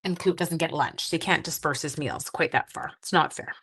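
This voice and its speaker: A woman's voice: sits low, a little fast, creaky, fairly bright tone, husky. A sleepy, groggy woman hosting a casual crafting live stream, chatting with viewers as she works and making playful little sound effects. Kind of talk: casual crafting demo with chat.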